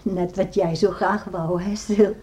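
An actress playing an anxious, tender and pious farmer's wife and mother in a radio play, musing in hushed, emotive tones. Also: medium pitch, steady tone, measured pace, slightly rough. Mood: wistful. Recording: old radio play with faint noise.

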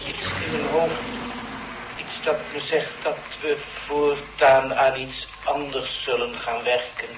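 A man's voice: mid-range voice, reverberant, noisy background, natural voice. A man playing a dazed entranced announcer. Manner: slow, flat, dazed. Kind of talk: radio drama acting.

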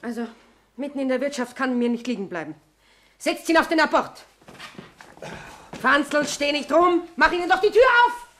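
A woman's voice: sits high, moderate pace, pauses often, a bit bright, reverberant. A woman acting a bossy yet evasive Bavarian tavern landlady in a 1960s German radio play. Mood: bossy, scolding.

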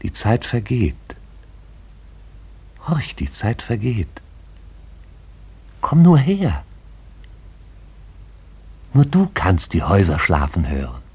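Radio drama narrator, male: low, husky voice, poetic and incantatory, hushed intensity.

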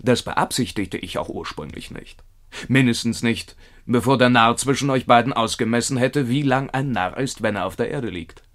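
A man's voice: medium pitch, moderate pace, a bit bright, close to an everyday voice. Dryly mocking courtier played by a man. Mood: dry irony. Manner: ironic, placating. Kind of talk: classic German radio play acting.

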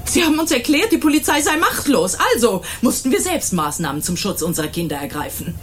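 A woman's voice: a little high, big pitch swings, fairly quick. A middle-aged woman acting an indignant, self-righteous mother in a German radio crime drama, with a stern, emphatic delivery. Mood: bitter, insistent. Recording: 1980s radio drama with faint noise.

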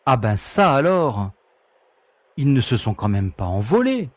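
A man's voice: mid-range voice, very animated, measured pace, dry recording. An actor playing a blunt, skeptical male starship pilot who talks in an incredulous, casual way. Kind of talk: audio drama acting.

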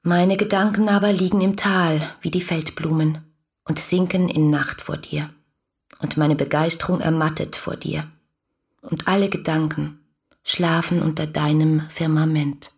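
An actress playing an ardent, lovestruck young woman who speaks her letters lyrically and fervently in a radio drama, with a husky voice. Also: low-pitched, steady tone, unhurried, a little mellow, heavily acted. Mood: yearning, reproachful. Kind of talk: literary radio drama monologue.

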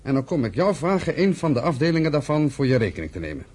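An actor playing a militant, impatient pioneer settler in a Dutch radio play, arguing forcefully with his brother. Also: mid-range voice, big pitch swings, quick pace. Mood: persuasive. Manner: forceful, argumentative, scornful.

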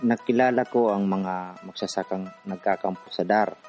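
A man's voice: low voice, fairly even intonation, normal speed, darkish tone, natural voice. A man playing an earnest, determined activist from the urban poor, telling his story in a radio drama. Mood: determined reflective. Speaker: narrator and protagonist. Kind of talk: radio drama narration and dialogue. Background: music.

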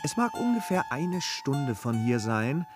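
An actor in a period radio play playing a courteous, upright gentleman traveller who speaks politely and formally. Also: medium pitch, big pitch swings, unhurried, over music. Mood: earnest narrating. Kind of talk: German radio play, classical period dialogue.

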